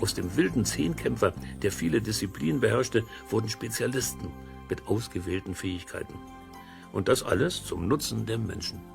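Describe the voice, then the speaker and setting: A man's voice: lowish voice, expressive, moderate pace, gravelly, over music. A man narrating an educational audio play for children, explaining things simply and conversationally with playful comparisons. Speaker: host and storyteller.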